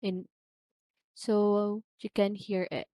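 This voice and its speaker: Woman doing a slow step by step how-to, instructional, really dry close sound. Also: mid-range voice, little pitch movement, mellow, dry recording. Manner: instructional, often checking with "okay?". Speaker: tutorial presenter. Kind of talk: step-by-step software tutorial.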